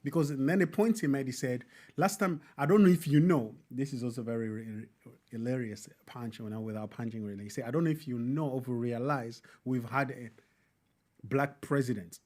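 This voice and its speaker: A man hosts a reaction commentary at an ordinary pitch. He talks over the clip, repeats its key lines, and drops in interjections. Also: normal speed, darkish tone. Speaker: commentary host.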